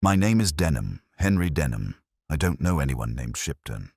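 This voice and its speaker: A man's voice: a bass voice, normal speed, breathy edge, dry recording, clean studio sound, heavily acted. A man voicing a calculating middle-aged fraudster in an audiobook mystery, guarded and curt in his speech. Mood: calm denial. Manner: guarded, calm, curt denial. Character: wary, calculating middle-aged male fraudster living under stolen name.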